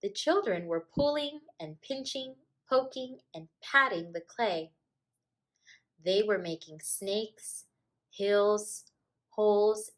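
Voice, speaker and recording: A woman reads a picture book to children as a teacher would. Her pace is slow and careful, and her pitch moves up and down with plenty of life. The delivery is expressive storytelling at an ordinary female pitch. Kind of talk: children's story read-aloud.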